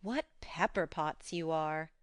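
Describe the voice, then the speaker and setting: A woman voicing a spirited, frank young woman who talks briskly and coaxingly. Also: mid-range voice, big pitch swings, a bit bright, no room sound.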